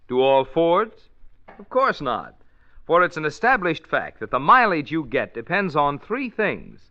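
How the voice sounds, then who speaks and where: A man delivering a 1940s radio commercial as an announcer, with emphatic, folksy salesman's patter. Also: a little high. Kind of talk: 1940s radio advertising read. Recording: muffled old radio recording.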